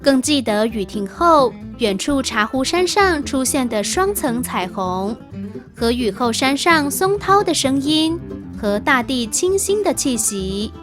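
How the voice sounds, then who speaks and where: A woman reading scripted literary passages in a measured, recited style. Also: high voice, sing-song, music in the background.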